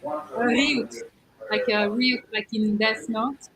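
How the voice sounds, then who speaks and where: A woman's voice: on the high side, slow, fairly bright tone, low-quality recording. A woman chatting casually as a livestream co-host, explaining things in an informal, slightly hesitant way. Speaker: live stream co-host. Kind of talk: casual chat. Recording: clearly audible noise, clicks.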